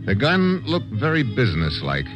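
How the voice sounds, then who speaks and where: An actor playing a tough, wry American adventurer in a 1950s radio drama, narrating and talking in terse, deadpan lines. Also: male voice, medium pitch, moderate pace. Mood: tense narration. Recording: old radio recording with music.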